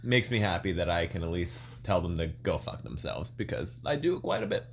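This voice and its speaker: A young man in his twenties co-hosting a casual podcast, laid-back and swearing often, getting animated when he tells stories. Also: low voice, fairly quick, slight background noise. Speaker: podcast co-host.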